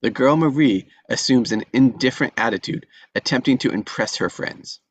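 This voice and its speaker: A man's voice: medium pitch, normal speed, no echo, low-quality recording. Dry, close-sounding man narrating a screenplay. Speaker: reader of stage directions. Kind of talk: scripted narration at a table read.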